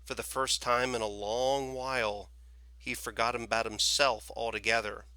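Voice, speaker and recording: A man reading a children's story aloud as expressive scripted narration. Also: medium pitch, moderate pace, clear, resonant tone, no echo. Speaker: storybook reader, teacher.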